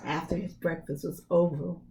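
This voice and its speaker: A woman reading a storybook aloud expressively for young children, pausing to explain things to her listeners. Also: on the low side, steady tone, creaky, a little mellow, low-quality recording. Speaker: preschool story reader.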